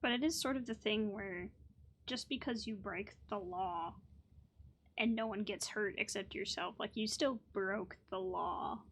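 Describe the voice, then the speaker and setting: A woman gamer with a mid-pitched voice gives casual commentary on a game. She reacts informally and chattily, as if thinking aloud. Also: unhurried. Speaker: gamer, video host.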